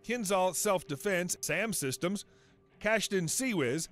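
A man narrating a scripted documentary countdown in an even, flat read. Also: sits high, slow. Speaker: video narrator.

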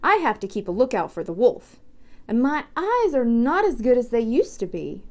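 An old, watchful sheep from a picture book, acted by a woman. It sounds fretful and earnest, in a high voice with very mobile intonation. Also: big pitch swings, moderate pace. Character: ageing sheep, anxious and watchful.

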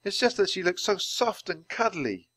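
Eager young girl, bright and chirpy, high lively voice, a male narrator putting her on. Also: normal speed, no room sound, exaggerated voice.

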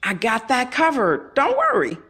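A practical, placating aunt played by a woman in an audio drama. She speaks fairly high with very animated intonation. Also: moderate pace, breathy edge, heavily acted. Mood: reassuring.

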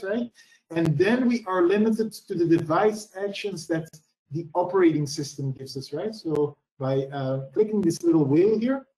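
Male presenter talking through a screen-share demo, slightly high voice, roomy echo. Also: darkish tone. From Belgium. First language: Dutch. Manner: explanatory, frequent "right?" tags and "uh" fillers. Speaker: security product presenter.

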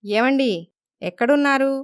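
A woman playing an emotional, insistent young wife in an animated moral story, given to reproach. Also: sits high, moderate pace, no room sound, studio quality, close to an everyday voice.

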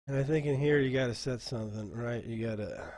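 A man walks listeners through a casual screen-share tutorial for game masters. His voice sits at an ordinary middle pitch, and he talks off the cuff. His delivery is halting and rambling, as he thinks aloud. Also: darkish tone. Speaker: dungeon master teaching a software class.

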